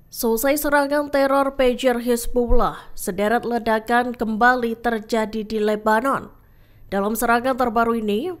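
High-voiced woman reading news. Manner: formal, measured reading. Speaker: news presenter. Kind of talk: scripted news narration.

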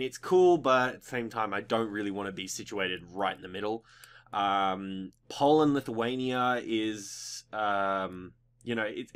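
A young adult man with a fairly low voice that has a slight croak to it. He hosts a gaming video in a casual, chatty way, rambling through his thoughts with loose, informal and occasionally sweary phrasing. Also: a bit bright. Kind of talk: casual gameplay commentary.

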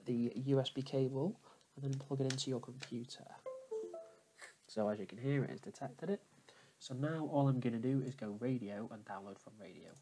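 A man talking viewers through a software tutorial step by step, in an informal, explanatory manner. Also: mid-range voice, a little hiss or hum, low-quality recording. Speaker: tutorial host. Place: indoors at a computer, voice over screen capture.

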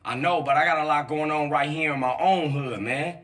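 A man playing a young street gangster in a game cutscene, put-upon yet loyal to family. The voice is a little high and clearly bright. He speaks defensively, in casual slang. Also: a little fast, close to an everyday voice. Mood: resigned. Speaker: video game character.

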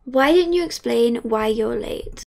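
A woman playing a strict schoolteacher in an amateur game movie, brisk and commanding. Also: on the high side, lively intonation, creaky, darkish tone.

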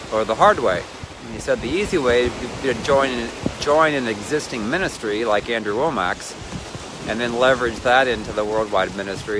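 A man in his fifties, chatty and wandering, filming a cold outdoor vlog on a phone held at arm's length by a lakeshore. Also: medium pitch. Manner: chatty, wandering, self-mocking. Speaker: vlogger and ministry leader. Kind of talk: casual rambling selfie vlog. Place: outdoors on a windy lakeshore with waves. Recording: wind and surf noise on phone audio. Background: waves and wind.

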